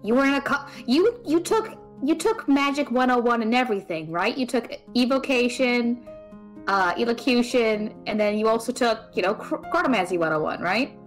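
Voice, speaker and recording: A woman playing an introverted, opinionated young magic student in an audio drama, with a halting, deadpan, teasing delivery. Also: fairly high pitch, faint music behind, natural voice. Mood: unsure. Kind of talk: audio drama dialogue, naturalistic.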